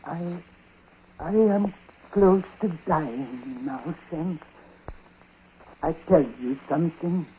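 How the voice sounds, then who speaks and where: A bitter, superstitious old man on his deathbed, grave and halting, drags out each phrase very slowly. The 1940s radio drama recording is dull, with faint noise. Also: a little high. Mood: weary confession. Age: older.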